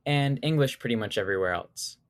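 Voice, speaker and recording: A young man of eighteen from the United States, whose first language is Spanish, reading his personal essay in an earnest, measured way. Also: mid-range voice, animated. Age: teens.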